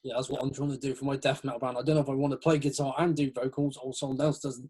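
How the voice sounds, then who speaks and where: Host: young man, mid-pitched, loose and rambling, laughs a lot. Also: flat delivery, rapid-fire, a little mellow, slightly rough. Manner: rambling, lots of fillers, laughs. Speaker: podcast host. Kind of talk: casual chat.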